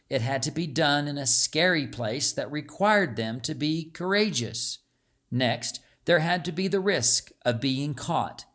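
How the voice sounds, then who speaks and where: Guy narrating an audiobook, mid pitch, really unhurried reading. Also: fairly bright tone. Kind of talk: scripted story narration.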